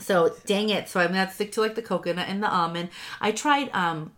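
A woman's voice: mid-range voice, fast talker, a bit bright. A woman co-hosting a podcast, a little congested in the nose. She talks in loose, casual chat, full of ums, likes and easy swearing. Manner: chatty, swears freely, frequent ums and likes. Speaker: podcast co-host recapping a reality show.

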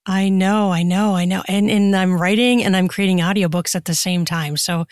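A woman's voice: lowish voice, fairly even intonation, normal speed, darkish tone, dry recording. Chatty woman in a dry, close recording. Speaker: podcast guest, erotica author and audiobook narrator. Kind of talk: casual conversational interview answers.

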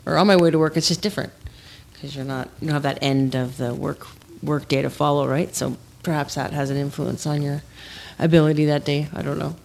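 A woman guest on a radio show with a notably low voice, talking fast and with enthusiasm about her travels and hobbies. Her words tumble out quickly in a casual, chatty way. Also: fairly even intonation, gravelly, darkish tone, slight background noise. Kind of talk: casual chat.

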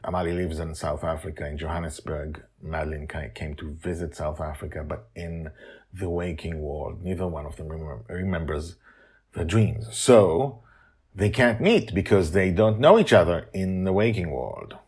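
A man hosting a podcast as its author, speaking in a halting, candid and emphatic way with a husky voice. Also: deep voice, darkish tone. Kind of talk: casual spoken introduction, then story narration.

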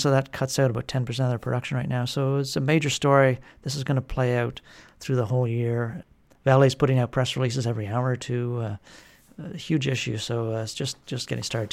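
A man hosting a mining news podcast, giving a measured, informative rundown with occasional fillers. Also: medium pitch, fairly even intonation, vocal fry. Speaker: podcast host and editor.

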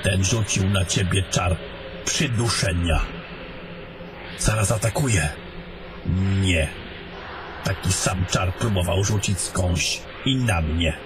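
A man's voice: deep voice, takes it slowly, pauses often, music in the background. A man playing a travelling adventurer in a fantasy audio drama. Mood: uneasy. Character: fantasy adventurers, gruff travelling men and a mage.

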